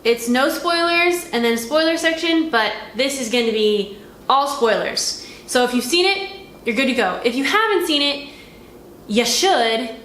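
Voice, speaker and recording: A woman hosting a casual film review, chatting and retelling in an animated, conversational way. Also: high voice, normal speed. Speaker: host of a movie review. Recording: roomy echo with faint background noise.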